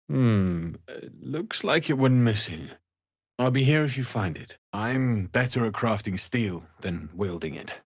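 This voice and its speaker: A man's voice: medium pitch, no room sound, clean studio sound. An actor playing an earnest, kindly young male blacksmith refugee in a fantasy video game, speaking sincerely and politely with plenty of expression. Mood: curious, eager, then reflective. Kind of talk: scripted fantasy game dialogue.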